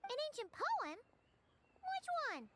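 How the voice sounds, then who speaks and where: A woman voicing a small, childlike, excitable and cheeky floating companion in a video game, with a squeaky voice and perky manner. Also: slow, very noisy, full character voice. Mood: curious. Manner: perky, refers to self in third person. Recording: loud music behind the voice. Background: game music.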